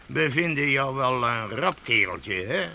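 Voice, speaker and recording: A man acting in a Dutch radio play as a rough, jovial leader of a medieval vagrant band, bossy and halting. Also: medium pitch, expressive, bright, forceful tone, a little hiss or hum, heavily acted. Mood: hearty, commanding. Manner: hearty, bossy, halting with interjections.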